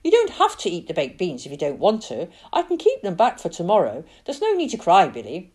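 A woman reads as a chattering, fussing middle-aged aunt in a storybook, raising her voice as she nags and passes on gossip. Her pitch swings widely, and the voice stays husky underneath. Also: huge pitch swings, brisk, a little mellow, exaggerated voice. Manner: chattering, scolding, full of hearsay.

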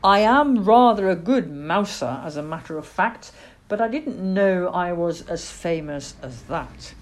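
A woman's voice: lowish voice, expressive, a little slow. A woman voicing a laid-back, modest, kindly male cat with a polite drawl for a storybook.

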